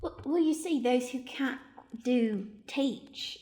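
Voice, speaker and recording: A woman's voice: sits high, slow, mellow. A woman playing a lonely, flustered, melodramatic romance writer in an improvised radio play. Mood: meek, awkward. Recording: faint noise with clicks.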